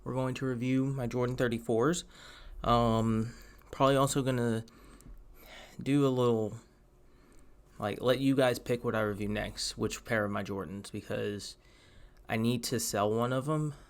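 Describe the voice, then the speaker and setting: Chatty young man with a gravelly voice. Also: medium pitch, steady tone, normal speed, pauses often. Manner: chatty, rambling, many ums and asides. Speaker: sneaker vlogger. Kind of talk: casual product review to camera.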